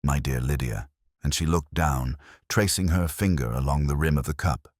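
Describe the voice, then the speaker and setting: A man narrating a detective audio drama in the first person as a weary, introspective inspector, with a measured, literary and wry manner. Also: extremely low pitch, no echo, studio quality. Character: weary, introspective male English police inspector, middle-aged.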